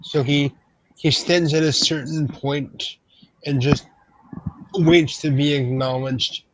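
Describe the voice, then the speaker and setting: A man improvising narration as a player in a tabletop roleplaying game, speaking in a halting, deliberate way. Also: fairly high pitch, fairly even intonation, a little hiss or hum, rough recording.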